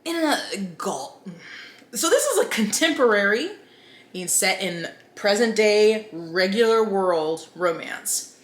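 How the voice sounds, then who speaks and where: A woman's voice: medium pitch, animated, slow, reverberant. A woman book vlogger giving casual, chatty opinion commentary with wry, incredulous asides.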